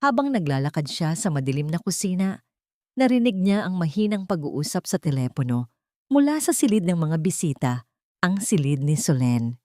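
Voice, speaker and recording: A woman's voice: lowish voice, big pitch swings, normal speed, dark tone, no echo, clean studio sound. A woman storyteller reading scripted, dramatic narration of a romance drama.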